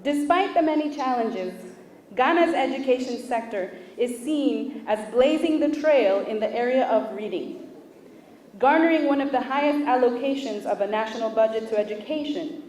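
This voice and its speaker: A woman whose first language is Spanish gives a formal prepared speech as an official at a launch event, with measured, deliberate delivery and pauses between listed items. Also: fairly high pitch, expressive, a little mellow, a little hiss or hum. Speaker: official speaking at a book launch. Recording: echoey room picked up from a distance.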